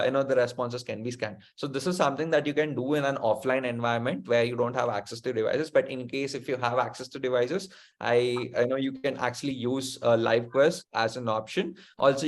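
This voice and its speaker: A young man presenting a webinar, answering questions politely and reassuringly, recorded on a video call in a small room. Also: mid-range voice. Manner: polite, reassuring, says ma'am often. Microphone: webcam on a video call.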